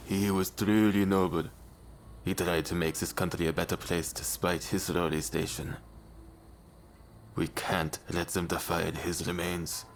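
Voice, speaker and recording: Deep gravelly brooding samurai. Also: male voice, level tone, measured pace, slight background noise. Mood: grave, earnest. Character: brooding, devoted samurai man, stern and loyal. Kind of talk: video game cutscene acting.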